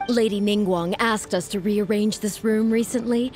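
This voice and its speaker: A woman's voice: mid-range voice, steady tone, normal speed, a little mellow, soft background music, close to an everyday voice. A woman voicing a polite young female attendant in a video game, courteous and helpful. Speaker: game character.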